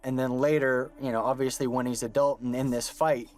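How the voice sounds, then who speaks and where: A man's voice: mid-range voice, little pitch movement, fairly quick, a little mellow. A man hosting a commentary track, asking guests questions and musing at length with lots of fillers. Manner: thoughtful, rambling, many fillers. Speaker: commentary host. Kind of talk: commentary chat.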